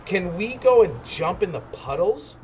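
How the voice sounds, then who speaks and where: Man putting on an excitable, high-pitched little girl pig. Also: unhurried, slight background noise. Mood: eager, pleading.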